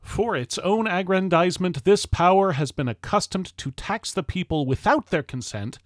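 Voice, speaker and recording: High-pitched man reading formal oratory. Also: dry recording, clean studio sound. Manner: solemn, declamatory, measured with deliberate pauses. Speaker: reader of a historical speech. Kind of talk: formal oratory read aloud from a script.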